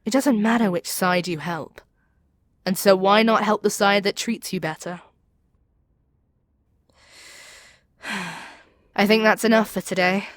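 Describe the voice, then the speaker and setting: A woman's voice: mid-range voice, normal speed, no echo, lightly acted. A woman playing a proud, seductive demon queen in an ASMR audio roleplay, speaking in an imperious, persuasive, theatrical way. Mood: indignant, persuasive. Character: proud, seductive demon queen brainwashing her captive hero.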